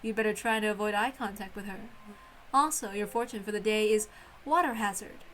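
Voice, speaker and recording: A woman's voice: mid-range voice, brisk, a bit bright, slight background noise, close to an everyday voice. A woman voicing a haughty, teasing, prank-loving witch in a theatrical visual novel read-aloud, mocking and grandly condescending. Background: music.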